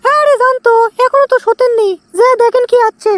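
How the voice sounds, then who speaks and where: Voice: very high voice, fairly even intonation, fairly quick, a bit bright, dry recording, voice pushed up. A performer voicing an impatient, nagging young wife with a Bogura Bangladeshi accent in a comic cartoon, speaking curtly.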